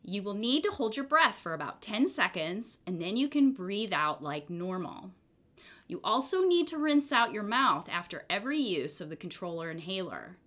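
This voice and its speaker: A woman's voice: medium pitch, very animated, moderate pace, fairly bright tone. A woman voicing a nice, fanged female pharmacist in a children's storybook, friendly and patiently instructive.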